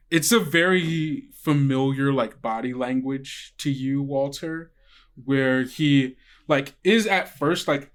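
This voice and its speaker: A man narrating a tabletop game as game master, improvising casually and often saying "like". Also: mid-range voice. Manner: casual, peppered with "like".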